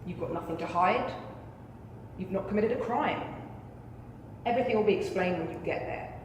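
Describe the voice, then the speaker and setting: A woman plays an impassioned female attorney in a courtroom drama. She speaks deliberately and rhetorically to persuade a jury. Her voice rings through a very echoey hall, with faint noise around it. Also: medium pitch, close to an everyday voice. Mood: solemn, persuasive. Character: impassioned female trial lawyer addressing a jury.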